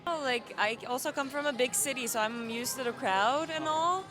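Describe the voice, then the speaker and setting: A woman from Turkey, a recent graduate visiting, answering street questions in a relaxed way. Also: high voice, moderate pace, over music.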